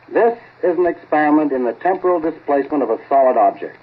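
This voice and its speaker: An actor playing an excitable, halting American amateur inventor in a 1940s radio drama, plain-spoken and easily amazed. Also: male voice, fairly high pitch, slightly rough. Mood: halting, earnest. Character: excitable male amateur time-machine experimenter, halting and folksy. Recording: narrow-band vintage 1940s radio recording.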